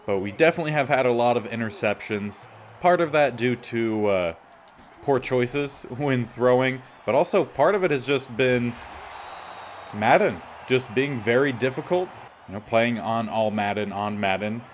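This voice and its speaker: A man with a slightly raspy voice giving casual gameplay commentary as the host of a gaming series. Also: medium pitch, normal speed, a little hiss or hum.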